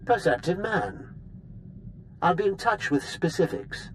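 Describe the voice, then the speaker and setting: High-voiced, ambitious male schemer. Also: sing-song, measured pace, a little hiss or hum, low-quality recording. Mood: persuasive. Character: ambitious, scheming male political operator seeking influence. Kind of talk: video game cutscene acting.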